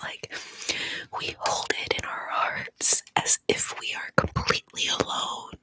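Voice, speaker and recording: A woman whispering softly and slowly to her viewers, tearful and emotional, with frequent pauses. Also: no echo, low-quality recording. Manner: soft, slow, emotional, pauses often. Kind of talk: whispered ASMR chat to viewers.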